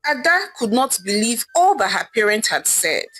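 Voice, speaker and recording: A woman narrating a scripted folktale in a dramatic, expressive storytelling manner. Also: fairly high pitch, normal speed, poor audio. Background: background music.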